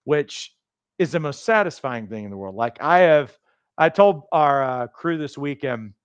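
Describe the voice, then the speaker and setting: A man with a raspy voice hosting a livestream, giving a fast, emphatic, motivational pitch for coach training. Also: animated, no room sound. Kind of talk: energetic sales pitch on a livestream.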